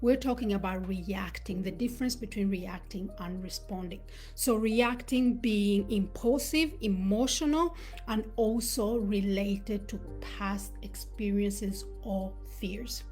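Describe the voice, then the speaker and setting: A woman who was born in Uganda and raised in Sweden, hosting a live talk on self-awareness and telling personal stories in a reflective, unhurried way. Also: mid-range voice, darkish tone, soft background music. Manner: reflective, storytelling with pauses. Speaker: host.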